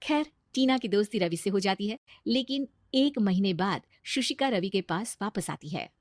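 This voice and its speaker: A woman's voice: mid-range voice, lively intonation, normal speed, dry recording, clean studio sound. A woman narrating a scripted moral story as the storyteller.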